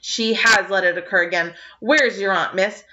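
A woman's voice: very high-pitched, huge pitch swings, slow pace, pushed and bright, pitched above the performer's own voice, exaggerated voice. A woman voicing an irate, short, fat older man who splutters and blusters, heard on a slightly dull lo-fi recording. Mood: furious.

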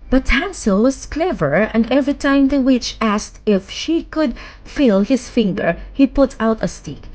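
A woman narrating a children's bedtime fairy tale in a measured, expressive storytelling manner. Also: on the high side.

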